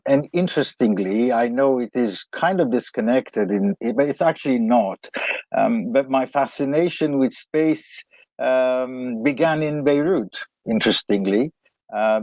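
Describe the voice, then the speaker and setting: A man's voice: medium pitch, darkish tone, no echo. A man from Lebanon, a guest economist, answering interview questions in a reflective, hesitant way. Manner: hesitant and reflective, with many fillers and restarts.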